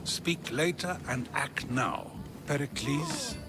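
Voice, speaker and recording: A resolute ally in a game set in ancient Greece. The voice is a little high and moves widely in pitch, earnest and decisive. Clear music runs underneath. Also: male voice, big pitch swings, takes it slowly. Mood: urgent. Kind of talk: dramatic video game acting.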